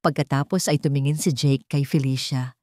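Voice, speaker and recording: A woman narrating a scripted love story in a dramatic, measured storytelling manner. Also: a bass voice, fairly even intonation, warm tone, dry recording, clean studio sound.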